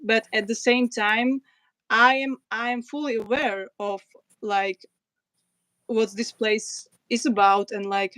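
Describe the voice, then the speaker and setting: Slovak-accented woman hosting a livestream; smooth and dry-sounding, steady and unhurried, halting with fillers. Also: fairly high pitch, no echo. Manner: hesitant, many fillers and restarts. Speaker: livestream host. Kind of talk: casual livestream chat, reading comments.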